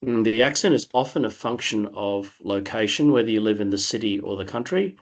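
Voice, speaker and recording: Australian man, middle-aged, somewhat low pitch, chatty, self-correcting, interview guest. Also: animated, fairly quick. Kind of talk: relaxed conversational interview talk.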